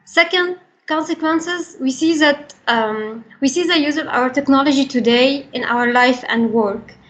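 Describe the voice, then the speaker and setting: A woman's voice: high-pitched, a little slow, a bit bright. A young woman with an Egyptian accent giving a prepared talk in an earnest, formal manner with careful phrasing. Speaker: regional coordinator for a young workers' organisation. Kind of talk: prepared talk, reading statement. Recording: dull, band-limited recording.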